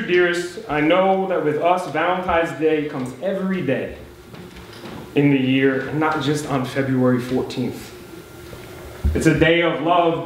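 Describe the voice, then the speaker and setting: A man's voice: on the high side, a little hiss or hum. A man reading an old letter aloud to a live audience at a poetry night, breaking off now and then for casual, chatty asides. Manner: reads with offhand chatty asides. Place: live poetry event in a beach house venue. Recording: very echoey room with faint ambience.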